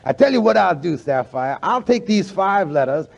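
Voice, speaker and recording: A man playing a kindly, earnest family friend in a 1940s radio comedy, reassuring in a gentle, folksy way. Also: sits high, very animated, moderate pace, gravelly, heavily acted. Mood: earnest, helpful. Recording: muffled 1940s radio recording.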